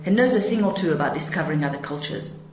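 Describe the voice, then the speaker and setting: A woman's voice: lowish voice, animated, fast, a little mellow. Woman presenting a podcast, roomy sound. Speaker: podcast host. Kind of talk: scripted podcast narration.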